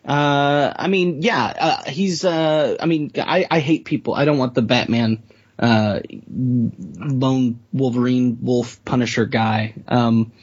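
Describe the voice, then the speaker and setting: A man talking casually as a player in a tabletop role-playing game, informal and jokey, thinking out loud as he describes a character. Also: mid-range voice, slow pace, slightly rough, dry recording, muffled recording.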